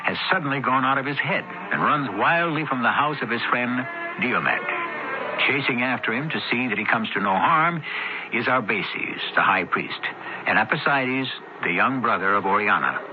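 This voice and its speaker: A man narrating a radio drama from a script in a formal, theatrical, measured way. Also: mid-range voice, lively intonation. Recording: muffled old radio, loud music underneath.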